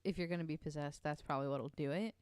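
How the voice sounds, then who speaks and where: A woman's voice: deep voice, quick pace, a little mellow, smooth, no echo. A woman guesting on a podcast, chatting casually and tossing in wry quips. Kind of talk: casual banter.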